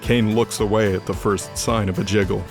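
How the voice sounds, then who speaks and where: A man narrates a scripted drama. His voice sits on the low side. Faint music runs underneath as he reads the action. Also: fairly even intonation, normal speed.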